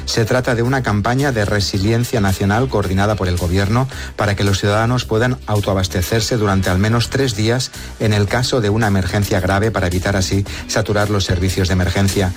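A man filing a radio news report as a correspondent, steady and informative. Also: lowish voice, fairly quick, few pauses, faint music behind.

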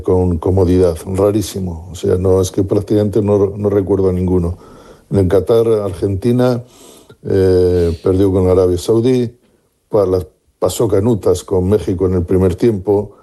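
Deep, very dark-voiced male pundit. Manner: musing, many restarts and fillers. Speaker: football pundit. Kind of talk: radio sports commentary.

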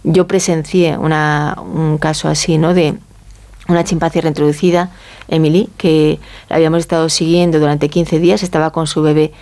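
A woman from Spain with a Castilian accent speaks in a soft-edged, mellow voice pitched a little low. She explains informally as an interview guest, in a conversational way, often ending phrases with a short checking question. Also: flat delivery, normal speed. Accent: Spanish from Spain. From Galicia, Spain. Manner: conversational, often seeks agreement with tag questions.

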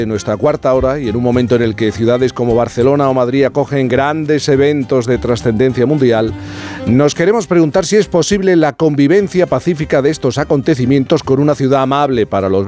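A man hosting a radio show, reading a scripted introduction and then chatting with his panel in a measured, announcer-like way. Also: medium pitch, warm tone. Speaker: radio host. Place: radio studio.

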